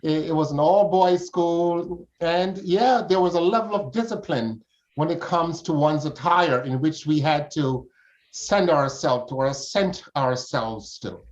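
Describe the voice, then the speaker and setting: A man's voice: fairly high pitch, a little slow. Older man on dull, lo-fi audio. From Jamaica. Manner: formal, flowery phrasing. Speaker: interview guest. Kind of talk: conversational interview answers.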